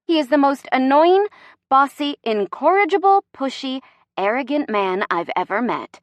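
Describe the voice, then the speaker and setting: A woman's voice: on the high side, lively intonation, normal speed, a bit bright, no room sound, voice pushed up. A woman voicing an impulsive, emotional young woman, the heroine of a romance story, with emphatic delivery. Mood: exasperated. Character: impulsive, dramatic, apologetic young heroine in love.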